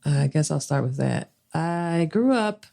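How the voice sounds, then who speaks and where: A woman's voice: low voice, animated, measured pace, gravelly, dark tone. Laughing woman telling her story. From Philadelphia. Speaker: guest artist. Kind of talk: casual storytelling interview.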